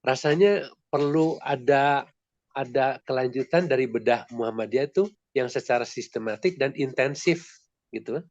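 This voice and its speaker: A man's voice: mid-range voice, normal speed, a little mellow, no echo. Nasal congested man, polite rambling questioner, muffled dry call audio. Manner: polite, rambling, many restarts. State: nasal and congested from a sore throat. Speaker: discussion participant. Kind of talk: online book discussion. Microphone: video call.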